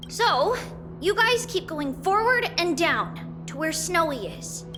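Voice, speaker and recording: A very high, lively girl's voice bounces through a game cutscene, eager and upbeat, unhurried even as she rallies her friends. Music plays behind her. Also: full character voice. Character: bubbly, determined young girl companion.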